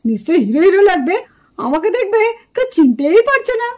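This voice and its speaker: A woman's voice: very high-pitched, big pitch swings, fast, a little mellow. A woman voicing a cheerful, boastful young boy doll in a puppet drama. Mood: boastful, excited.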